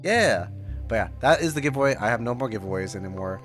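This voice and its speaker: A man chatting casually and excitably as he streams his reaction to a game. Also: medium pitch, fast. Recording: faint game music behind voice. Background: game music.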